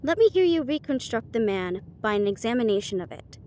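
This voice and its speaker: A woman voicing a sharp, confident male detective with a precise, formal, condescending manner in an audiobook. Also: a little high, sing-song, fairly quick, a little hiss or hum. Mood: inquisitive.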